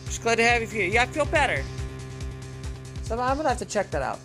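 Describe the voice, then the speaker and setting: A woman gaming streamer with a deep voice for a woman, chatting casually and rambling with lots of fillers while she plays. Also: very animated, quick pace, music in the background. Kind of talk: casual chat while playing.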